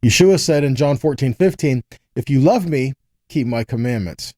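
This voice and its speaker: A man's voice: medium pitch, expressive, normal speed, a little mellow, dry recording, studio quality. A man giving a conversational Bible teaching, reading verses aloud and explaining them earnestly, often checking in with short rhetorical questions.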